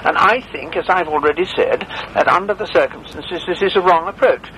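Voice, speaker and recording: A man with a notably high voice speaks in a formal, old-fashioned and stern way, choosing his words with care. He talks as a dental patient on a phone call, and a faint hiss sits under his voice. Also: brisk, pushed and bright. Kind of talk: phone conversation.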